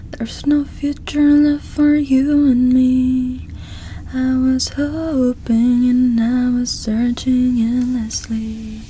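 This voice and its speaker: A woman doing ASMR, singing and whispering songs in a soft, breathy, gentle voice. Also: sits high, flat delivery, soft background music. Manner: slow, drawn-out, gentle delivery. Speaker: ASMR performer.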